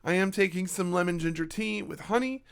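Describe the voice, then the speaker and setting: A man with a slightly raspy voice giving a chatty, self-mocking channel update to his viewers. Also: high-pitched, fairly quick. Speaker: YouTube creator. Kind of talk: casual channel update monologue.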